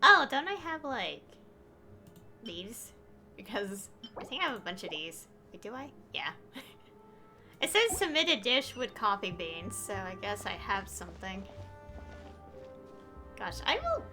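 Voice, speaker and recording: A woman's voice: medium pitch, sing-song, a little slow, pauses often, music in the background. A woman streaming a game with casual, rambling, chatty, giggly commentary.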